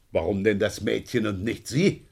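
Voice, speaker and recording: An actor playing a shrewd, sardonic police inspector in a German radio crime drama, questioning in a pointed, wry way. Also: male voice, on the low side, takes it slowly, creaky, darkish tone, exaggerated voice. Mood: probing, wry.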